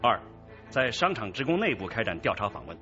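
An actor in a radio drama playing a patient, methodical police squad leader, affable yet authoritative. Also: male voice, fairly low pitch, big pitch swings, brisk, over music. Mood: businesslike, firm. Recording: dull lo-fi radio drama track.

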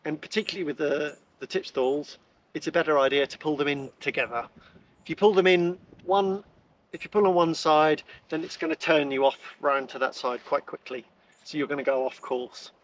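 A man's voice: on the high side, normal speed, lo-fi sound, slight background noise. A middle-aged man giving a casual, enthusiastic gear review in a sunny garden, chatting with frequent restarts. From England.

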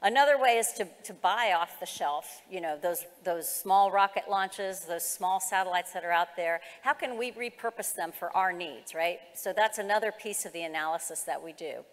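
A woman's voice: fairly low pitch, moderate pace, hardly any pauses. A middle-aged American woman giving an explanatory, semi-formal keynote presentation at a conference. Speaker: keynote speaker, government executive. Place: large conference hall, on stage. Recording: reverberant hall sound.

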